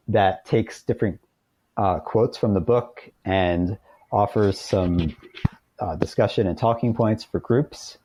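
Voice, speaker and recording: A man's voice: lowish voice, mellow. A middle-aged American man speaking as a guest author in a conversational interview, explaining things earnestly. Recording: dull lo-fi call audio, roomy echo.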